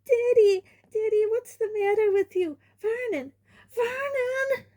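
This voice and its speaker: A woman voicing a shrill, hysterical middle-aged mother who panics and fusses over her son. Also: moderate pace, warm tone. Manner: shrieking, panicky.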